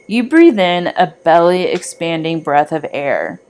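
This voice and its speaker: A woman reading a scripted guided meditation in a soothing, deliberate manner with long pauses. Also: lowish voice, expressive, darkish tone.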